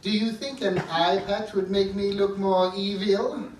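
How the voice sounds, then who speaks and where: A man's voice: high voice, steady tone, unhurried. A man playing a fussy, petty evil doctor villain in a stage comedy, with hesitant theatrical delivery. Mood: scheming, fussy. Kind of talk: live stage comedy acting. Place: theatre stage, live performance. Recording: echoey, distant, noisy theatre recording.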